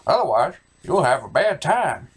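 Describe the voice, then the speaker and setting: A comedy host narrates a scripted movie recap in a theatrical manner. The delivery is exaggerated, with pitch that swings widely from phrase to phrase. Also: very animated, normal speed, husky.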